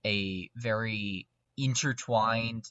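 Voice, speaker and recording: A man's voice: lowish voice, very slow, sharp tone, no room sound, lo-fi sound. A man chatting casually as a podcast guest, speaking emphatically and repeating words for emphasis. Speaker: podcast guest, lore expert. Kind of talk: casual discussion.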